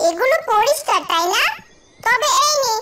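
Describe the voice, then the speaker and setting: A woman's voice: extremely high pitch, expressive, normal speed, far from the mic, background noise. A woman voicing a spoiled, spiteful young girl in a cartoon fairy tale, bossy and whiny. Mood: taunting, bossy.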